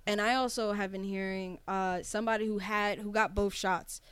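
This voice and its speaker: A young adult woman co-hosting a podcast, talking in a chatty, animated way with plenty of swearing. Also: medium pitch, clear, resonant tone. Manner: chatty, animated, profane, quick to laugh. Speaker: podcast co-host. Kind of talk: casual chat.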